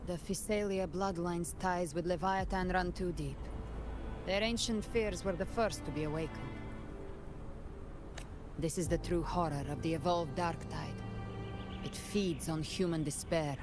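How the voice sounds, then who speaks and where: An actress playing a grave, resolute adviser in a scripted game drama, speaking formally and with measured gravity. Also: female voice, fairly low pitch, fairly even intonation, natural voice. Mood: grave, solemn. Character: solemn, resolute woman, grave knowing adviser. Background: music.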